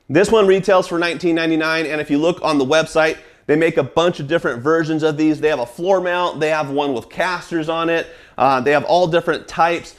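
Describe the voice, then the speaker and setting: Energetic American male host, forties, slightly higher voice, salesy workshop pitch with filler words. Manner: energetic, salesy, many "uh" fillers, gestures with products. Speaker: woodworking channel host. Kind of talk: tool recommendation vlog. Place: small wood workshop indoors.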